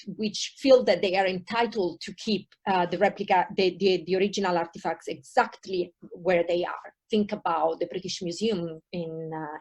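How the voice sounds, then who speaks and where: A woman's voice: lowish voice, a little mellow, breathy edge. A woman in her thirties from Italy with an Italian accent, giving an online university taster lecture with many hesitations and fillers. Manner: hesitant, many ums and uhs, restarts.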